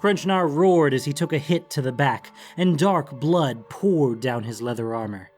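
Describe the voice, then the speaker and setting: Casting: male audiobook narrator, medium pitch, dramatic scripted fantasy reading with soft music behind. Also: a little slow.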